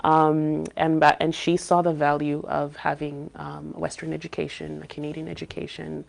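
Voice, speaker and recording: A woman who grew up in Saudi Arabia, a podcast guest speaking thoughtfully and pausing often to search for words. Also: deep voice, little pitch movement, creaky. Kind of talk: casual interview talk.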